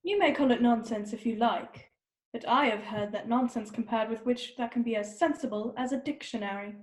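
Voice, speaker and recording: A woman's voice: a little high, a little fast, darkish tone, echoey room, lightly acted. A woman playing an imperious, scolding queen in a children's readers' theater performance. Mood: haughty, scolding. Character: bossy, haughty queen ordering a young girl about.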